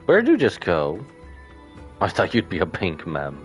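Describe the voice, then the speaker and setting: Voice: sits low, huge pitch swings, a little slow, faint music behind. A streamer casually reacting to a game, musing aloud in a puzzled way. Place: livestream, game music behind.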